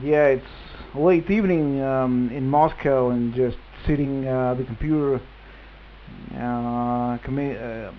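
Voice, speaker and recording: A man from Russia with a Russian accent, making casual ham radio chat in halting English and searching for words. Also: mid-range voice. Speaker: amateur radio operator. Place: at home at a computer. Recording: muffled, slightly noisy radio link recording.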